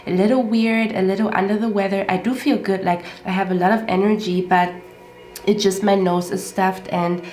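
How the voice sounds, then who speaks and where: Woman tarot reader with a stuffed-up nose, repetitive and reassuring, frequent "okay?" check-ins, echoey room with soft music. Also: medium pitch, steady tone, normal speed. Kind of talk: casual tarot reading monologue. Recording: roomy echo with faint music.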